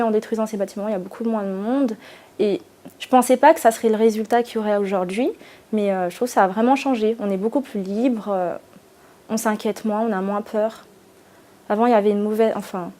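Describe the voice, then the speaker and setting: Femme à la voix sombre et posée. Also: female voice, mid-range voice, mellow. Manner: earnest, reflective opinion. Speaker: interviewee. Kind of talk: documentary interview.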